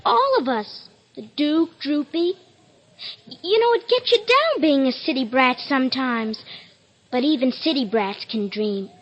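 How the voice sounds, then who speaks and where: A streetwise kid who leads the gang in a radio comedy. The voice is high and very animated, eager and plucky. The vintage recording is dull and band-limited. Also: female voice, a little slow, husky, full character voice. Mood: wistful. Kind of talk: old-time radio musical comedy acting.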